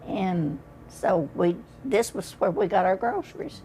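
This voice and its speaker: A woman's voice: medium pitch, animated, unhurried, dark tone, a little hiss or hum. A woman, reminiscing in an interview with short, plain answers. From Holland, Arkansas. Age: 80s. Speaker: interviewee, local elder.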